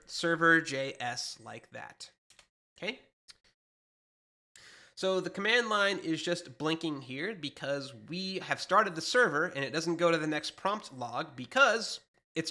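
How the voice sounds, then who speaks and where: Bright-voiced man teaching code, stuffed up. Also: fairly high pitch. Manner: explanatory, conversational, self-correcting. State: stuffed up with allergies. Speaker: programming instructor. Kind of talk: screen-share coding tutorial. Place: small home studio, indoors.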